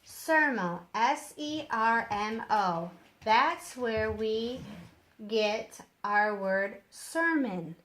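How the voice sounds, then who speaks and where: A woman's voice: a little high, expressive, extremely slow, a bit bright, full character voice. A woman voicing a kindly, enthusiastic older male doctor in a children's storybook, exclamatory and encouraging. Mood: reassuring. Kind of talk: children's storybook read-aloud.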